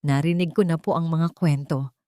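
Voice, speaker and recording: A woman's voice: deep voice, steady tone, moderate pace, mellow, dry recording, clean studio sound, natural voice. A woman with a Filipino accent voicing a polite but firm, protective mother in a narrated story. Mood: defensive, firm.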